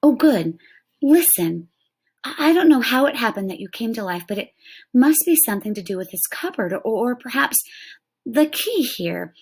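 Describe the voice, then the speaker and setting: A woman voicing an eager young boy who talks quickly, pleads and stumbles over his words. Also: fairly high pitch, big pitch swings, slightly rough, pitched above the performer's own voice, heavily acted. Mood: eager pleading.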